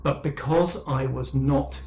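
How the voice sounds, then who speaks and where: A man voicing an intelligent, sorrowful female fire demon in a tabletop game, speaking in a measured, formal, deliberate way. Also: mid-range voice, steady tone, creaky, darkish tone, a little hiss or hum. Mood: grave, recounting.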